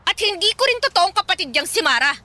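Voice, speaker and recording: Teen rebel for radio drama: piercingly high, sharp, insolent and bitter with grief, music underneath. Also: female voice, big pitch swings, fast talker, dry recording, full character voice. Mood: fed up, insolent. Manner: sarcastic, insolent back-talk. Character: rebellious grieving teenage stepdaughter, defiant and bitter. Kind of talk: Tagalog radio drama acting. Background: background music.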